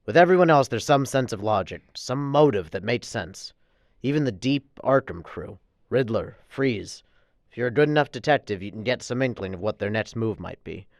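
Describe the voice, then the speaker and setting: Man as a weary police chief, medium pitch, earnest, emphatic, radio drama, dry studio sound. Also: animated, normal speed, dry recording, lightly acted.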